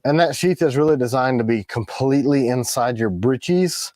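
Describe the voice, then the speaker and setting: A man with a raspy voice, tired in the morning, co-hosting a casual product livestream and explaining the details of the items he shows. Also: mid-range voice, animated, moderate pace, darkish tone, dry recording, clean studio sound. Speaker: co-host of a knife company livestream. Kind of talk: casual live chat about products.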